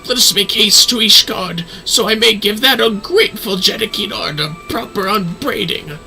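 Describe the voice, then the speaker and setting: A man's voice: sits high, normal speed, vocal fry, sharp tone, soft background music, pitched above the performer's own voice, exaggerated voice. A man voicing an elderly, weary, wryly jesting astrologer from a fantasy game in formal, theatrical phrasing.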